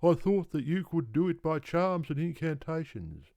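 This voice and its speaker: A man's voice: fairly high pitch, animated, normal speed, dark tone, no room sound, voice pushed up. A man voicing a tired, aggrieved old male kangaroo in a storybook, complaining in formally polite words. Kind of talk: storybook character voice.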